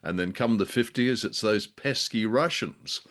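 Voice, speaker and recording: A man's voice: on the low side, unhurried, a bit bright. A man co-hosting a discussion of old radio drama, chatting casually in a hesitant, wry way that tends to wander. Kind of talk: casual chat, review commentary.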